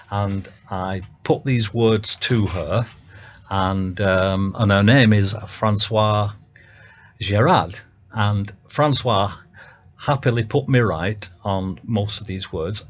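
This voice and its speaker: A Northern English man talks casually about his music in a low voice. He hesitates often and restarts his sentences. The sound is dull and lo-fi, like a local radio broadcast. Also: takes it slowly. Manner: hesitant, many ums and restarts. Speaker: songwriter guest.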